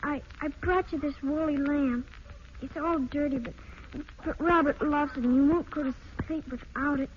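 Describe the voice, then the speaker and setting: An actress playing a shy, earnest little girl, a protective big sister, speaking haltingly in an old-time radio drama. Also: high voice, fairly even intonation, natural voice. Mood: earnest, tender. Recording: muffled, noisy old radio broadcast.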